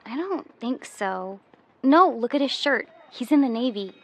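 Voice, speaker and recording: A woman's voice: fairly high pitch, lively intonation, measured pace, fairly bright tone. A woman playing a cautious, uneasy teenage schoolgirl in an audio dramatization. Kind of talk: true-crime audio dramatization.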